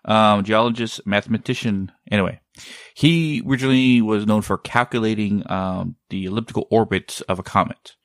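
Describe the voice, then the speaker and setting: Low-voiced guy rambling casually, keeps hunting for words, dry close sound. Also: moderate pace, dry recording. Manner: rambling, often searching for words. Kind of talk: casual chat.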